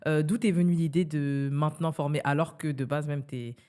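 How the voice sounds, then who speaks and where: A woman's voice: deep voice, steady tone, fairly quick, dark tone. A woman hosting a casual interview, enthusiastic, with frequent agreeing interjections. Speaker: interviewer, host.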